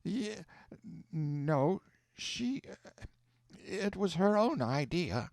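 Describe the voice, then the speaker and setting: A man's voice: a little high, very animated, measured pace, a little mellow, no room sound, studio quality, pitched above the performer's own voice. A man voicing a grieving older aunt who speaks tearfully and hesitantly.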